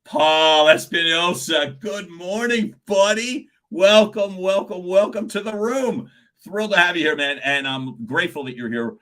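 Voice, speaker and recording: Loud, animated middle-aged male coach, bright forceful voice, talks straight to a live audience. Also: a little slow. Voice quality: loud speaking voice. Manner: animated, swings pitch and volume, chuckles often. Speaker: communication coach hosting a live stream. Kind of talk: live-streamed coaching talk.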